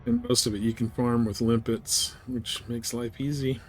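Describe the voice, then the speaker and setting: A man's voice: medium pitch, fairly even intonation, moderate pace, a little hiss or hum. A man livestreaming a space game, giving casual, offhand commentary and chatting with viewers in short remarks. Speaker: live streamer playing a space game.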